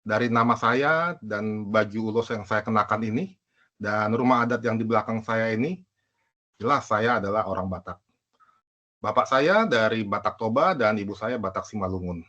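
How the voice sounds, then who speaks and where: A man's voice: on the low side, normal speed, bright, forceful tone, muffled recording. A man from Palembang giving a proud, formal self-introduction for a class presentation. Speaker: student presenter.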